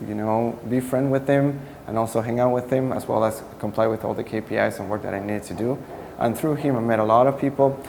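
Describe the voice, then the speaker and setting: Interview guest: man, mid-range voice, earnest, pauses to find words; echoey room, faint hiss. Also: mid-range voice, darkish tone. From Peru. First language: Spanish. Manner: earnest and searching for words, self-correcting. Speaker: startup co-founder. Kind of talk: answering interview questions.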